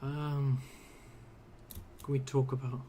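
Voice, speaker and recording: A man at his home computer streaming a game, talking on and off in a loose, hesitant ramble full of fillers and half-finished sentences. His voice sits at a middle pitch and sounds a little strained from hours of talking. A faint hiss runs under the desk mic. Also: little pitch movement, takes it slowly, mellow. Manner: hesitant, filler-heavy, trails off mid-sentence. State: voice a bit strained from long talking. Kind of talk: casual rambling chat while gaming.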